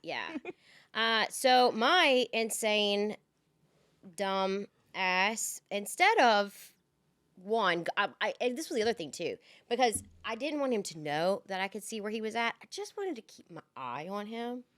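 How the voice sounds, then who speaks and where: Woman co-host, candid rambling storyteller, mid pitch, dry close sound. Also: lively intonation, a little slow, fairly bright tone, no room sound. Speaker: podcast co-host. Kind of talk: casual chat.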